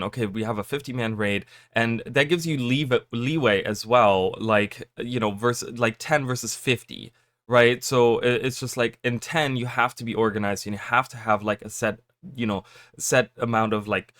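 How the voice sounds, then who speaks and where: A man talking casually to his viewers, rambling with lots of fillers and restarts, his voice a little raspy from just waking up. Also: mid-range voice, a bit bright, no echo. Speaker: gaming YouTuber. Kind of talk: casual chat to camera.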